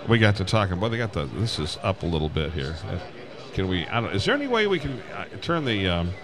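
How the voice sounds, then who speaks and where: A man with a fairly low voice hosts a live radio show. He talks casually in a folksy, rambling style, often repeating himself. A busy crowd fills the background. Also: sing-song, fast. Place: live broadcast from a busy ham radio convention. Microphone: broadcast microphone with PA amplifier. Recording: crowded convention floor, busy background chatter.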